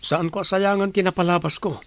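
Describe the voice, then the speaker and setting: A man's voice: high voice, fairly even intonation, a little fast, a bit bright. A man playing a young, resolute grandson with an Ilocano accent in a radio drama. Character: young grandson, resolute about forgetting his past.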